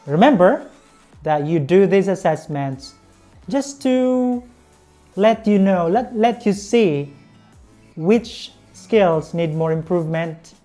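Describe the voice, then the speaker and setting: A woman's voice: fairly low pitch, warm tone. A young woman with a Filipino accent, a native Visayan speaker, teaching an English lesson in a careful, encouraging way on a lapel mic. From Philippines. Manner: slow, careful, encouraging delivery.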